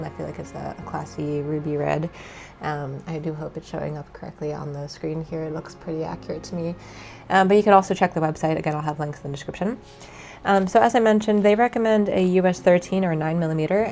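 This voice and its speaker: A woman's voice: fairly low pitch, gravelly. Stuffy-nosed woman teaching knitting. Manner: relaxed, many ums and uhs. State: stuffy from a cold. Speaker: knitting instructor. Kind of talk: casual tutorial explanation.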